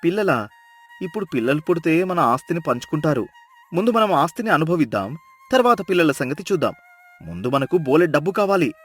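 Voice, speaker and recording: A man's voice: on the high side, sing-song, fairly quick, husky, soft background music. A man doing a greedy poor village husband. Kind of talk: storybook drama acting.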